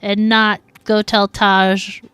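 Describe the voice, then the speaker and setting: A woman's voice: mid-range voice, little pitch movement, slow pace, fairly bright tone, smooth, no room sound. A woman live-streaming a game with casual, chatty, playful commentary.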